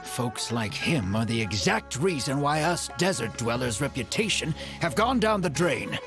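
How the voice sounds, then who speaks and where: An actor in a video game playing an earnest, proud man of the desert, speaking in formal, measured phrases. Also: medium pitch, very animated, music in the background. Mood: apologetic, earnest. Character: earnest, proud desert-dwelling man, elderly or ailing, apologetic.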